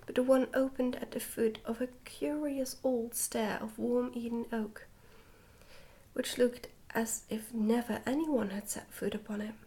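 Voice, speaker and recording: Soft whispery lady doing asmr book reading, gentle careful storytelling, kinda higher voice. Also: fairly even intonation, unhurried. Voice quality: whispery, breathy, soft-spoken.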